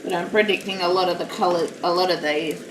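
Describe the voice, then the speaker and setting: A woman with a naturally loud, slightly low voice chats in a rambling, self-deprecating way while she works on a craft at home. She sits back from the mic in a roomy space, and a steady noise runs underneath. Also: fast, recorded from a distance. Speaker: live-streaming craft hobbyist. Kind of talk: casual chat while crafting.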